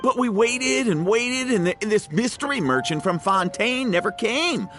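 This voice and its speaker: A man's voice: high voice, expressive, moderate pace, music in the background. A man playing a rueful, humbled bankrupt merchant in a video game, speaking in an emphatic, plaintive way. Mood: distressed.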